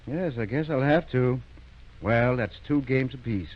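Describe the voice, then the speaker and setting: An actor playing a good-natured, mild small-town doctor in an unhurried 1930s radio drama style, on a muffled recording. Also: male voice, mid-range voice, close to an everyday voice.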